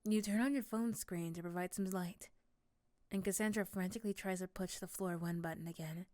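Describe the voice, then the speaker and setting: A woman's voice: on the low side, fairly even intonation, brisk, a little mellow, no room sound, studio quality. A woman reading scripted second-person narration for an ASMR roleplay story.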